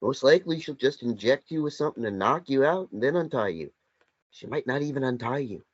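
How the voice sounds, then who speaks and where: A man's voice: medium pitch, animated, a little fast, lightly acted. Needling sidekick, read by a man: dry, blunt, confrontational, very varied intonation, slightly lo-fi sound. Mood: dry, sardonic. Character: blunt, sardonic companion who needles a captive. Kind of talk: script table read. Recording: dull lo-fi sound.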